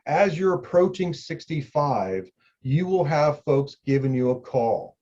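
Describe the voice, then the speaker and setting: A man's voice: on the high side, lively intonation, a little slow, a little mellow, muffled recording. A middle-aged man, an insurance agent, explaining benefits to the viewer in a conversational way, repeating key points. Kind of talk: explainer video talk.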